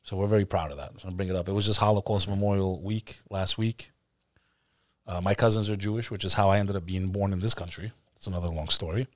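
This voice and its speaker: A man with a fairly low voice hosts a casual podcast interview. His American speech carries an Albanian tinge. He talks in a jokey, slangy way, recorded close and dry. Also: fairly even intonation, quick pace, no room sound. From born in Texas, United States, to an Albanian family. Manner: jokey, uses street slang. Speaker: podcast host.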